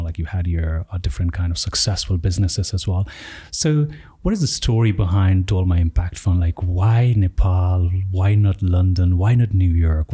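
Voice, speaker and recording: A man's voice: sits low, dark tone. A man hosting a podcast who asks questions in a friendly, conversational way, often adding "you know, like.". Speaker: podcast host. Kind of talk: podcast interview.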